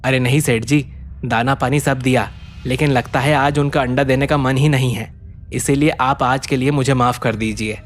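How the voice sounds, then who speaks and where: A man's voice: mid-range voice, steady tone, moderate pace, lightly acted. A man voicing a poor, humble, obliging village egg seller in a cartoon, with a deferential, explaining manner. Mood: apologetic.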